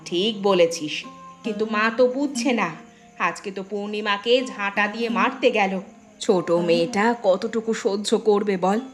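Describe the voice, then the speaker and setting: A woman's voice: mid-range voice, measured pace, soft background music, close to an everyday voice. Woman acting as protective elder village woman, decisive, reassuring, folk-tale storytelling. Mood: resolved, bitter. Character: resolute protective eldest daughter-in-law, a village woman. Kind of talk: Bengali folk tale dramatization.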